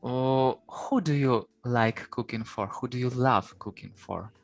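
A man's voice: medium pitch, a little slow, creaky, no room sound, soft background music. A man hosting a podcast and asking short, plain interview questions. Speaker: podcast host.

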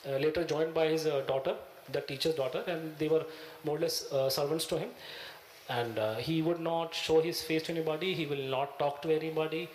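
A man with a Punjabi accent, speaking as an interviewee and recounting events in a halting way as he searches for his words. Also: a little high, reverberant, slight background noise, poor audio. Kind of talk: recounting, conversational.

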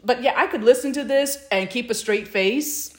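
A woman's voice: on the high side, moderate pace, soft background music. A woman co-hosting a podcast in casual chat, with a hesitant, meandering way of talking. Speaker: podcast co-host.

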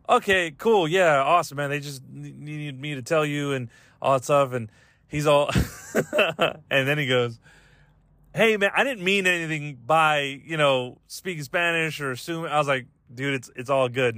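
A man's voice: a little high, sharp tone, no room sound. A man hosting a podcast, rambling casually and comedically in slangy, profane English as he retells everyday exchanges. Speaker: podcast host.

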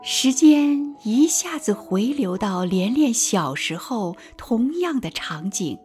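Woman narrating radio play, lively scripted read, higher voice, music underneath. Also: slow.

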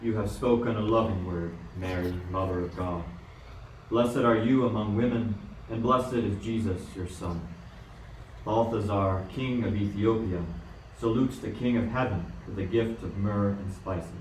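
A man playing a gentle, reverent king in an amateur radio play, speaking solemnly and formally. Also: fairly low pitch, unhurried, natural voice. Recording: echoey, distant, faintly noisy room.

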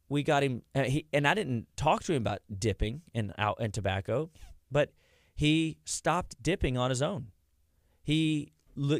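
A man's voice: medium pitch, gravelly, dry recording, clean studio sound. A man, giving a rambling, folksy talk about health as a wellness clinic host. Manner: rambling, folksy, loses his train of thought.

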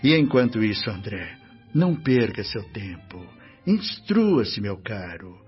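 An actor with a Brazilian accent playing a benevolent, authoritative spirit minister in a radio drama, speaking in a formal, instructive, measured manner. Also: male voice, lowish voice, very animated, breathy edge, full character voice. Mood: paternal, solemn. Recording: dull radio recording, faint music.

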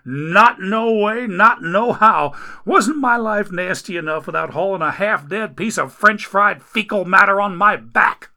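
A man voicing a griping, foul-mouthed male rescuer who grumbles loudly in folksy slang. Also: on the high side, normal speed, gravelly, voice pushed up.

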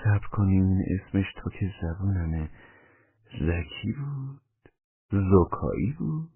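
A man voicing a mild, apologetic man who speaks politely and haltingly, on a tinny, band-limited recording. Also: sits low, slightly rough, no room sound. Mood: hesitant.

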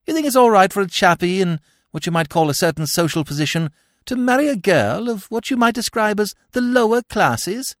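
A man voicing an excitable, dithering young upper-class English gentleman in an audiobook, flustered and rambling in old-fashioned slang. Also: sits high, a little fast, no echo, clean studio sound, voice pushed up. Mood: puzzled. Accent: British upper-class.

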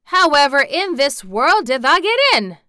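A woman voicing a gruff old Yorkshire gardener man, hoarse and quavering, in a storybook reading. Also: on the high side, slow pace, bright, forceful tone, no echo, clean studio sound. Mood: indignant.

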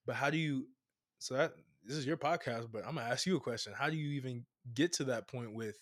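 A man from Brooklyn talks as a guest coach on a podcast. His pitch is average for a man, and he gives advice conversationally, stressing and repeating phrases to drive each point home. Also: fast talker. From Brooklyn, New York.

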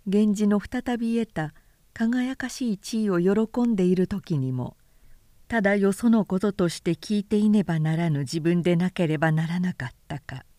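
A woman with a mellow, warm voice narrates a literary tale. Her delivery is measured and careful, and the sound is close and dry. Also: mid-range voice, no room sound. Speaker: narrator reading classic literature aloud. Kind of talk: scripted audiobook narration.

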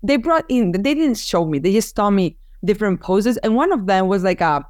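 A woman's voice: medium pitch, expressive, moderate pace, no room sound, clean studio sound. A woman whose first language is Spanish, talking through her work in a casual interview and often restarting as she hunts for words. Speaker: business owner, photographer.

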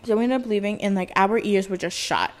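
A young woman with a high-pitched, nasal voice, co-hosting a podcast and telling a story in casual chat. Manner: fast, animated, quotes people in dialogue. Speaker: podcast co-host.

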